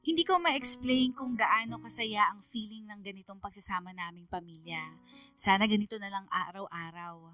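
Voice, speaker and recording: A woman's voice: medium pitch, moderate pace, sharp tone, recorded from a distance, close to an everyday voice. A woman with a Filipino accent playing a sentimental young daughter, narrating her heartfelt letter in a radio drama. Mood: sentimental, happy. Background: music.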